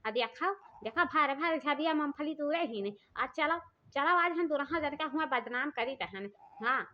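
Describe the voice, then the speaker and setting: A woman's voice: high-pitched, lively intonation, moderate pace. A woman playing an angry, scolding village farmer in a comic cartoon, speaking with an eastern Uttar Pradesh accent and repeating herself. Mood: indignant. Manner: scolding, repetitive, confrontational. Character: angry village woman farmer accusing thieves of stealing peanuts. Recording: dull lo-fi audio.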